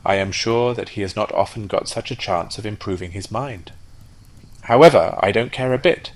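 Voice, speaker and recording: A man's voice: lowish voice, moderate pace, close to an everyday voice. A man voicing a conceited male firework rocket who talks in a haughty, lecturing way. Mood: pompous. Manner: haughty, self-important, lecturing.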